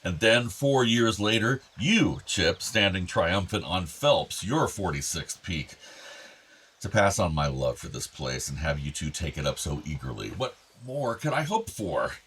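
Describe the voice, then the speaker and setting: An actor playing an ailing, tender older father in a radio play, reflective and gently earnest. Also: low voice, big pitch swings, unhurried, slightly rough, heavily acted. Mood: proud, tender. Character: ailing, tender, wistful aging father of two grown sons.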